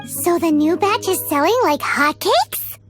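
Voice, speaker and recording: A woman voicing a tiny, excitable, cheeky fairy-like girl companion in a video game, squeaky and childlike, with loud music behind her. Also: measured pace. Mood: curious. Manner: speaks of herself in the third person, exclamatory. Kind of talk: video game character acting.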